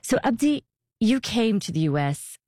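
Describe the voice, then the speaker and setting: A woman's voice: on the high side, very animated, moderate pace, warm tone, no echo, studio quality. A woman hosting a radio interview, asking probing questions. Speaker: host.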